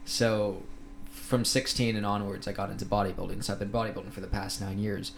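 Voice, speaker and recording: A man in his twenties from the Philippines and Thailand with an American-sounding accent, a studio podcast guest who talks in a laid-back, thoughtful way with many pauses. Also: fairly low pitch, level tone, creaky, a little hiss or hum. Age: 20s. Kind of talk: casual interview conversation.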